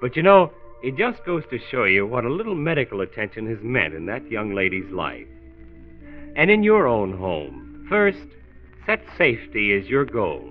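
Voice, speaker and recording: An actor playing a folksy, earnest narrator who gives health advice in a scripted 1940s radio series. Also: male voice, mid-range voice. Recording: tinny 1940s radio recording.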